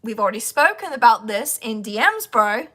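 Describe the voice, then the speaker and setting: A woman voice actor with a sore, croaky throat, rambling casually to her livestream audience and talking to herself while she fights with her devices. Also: on the high side, sing-song, moderate pace, sharp tone.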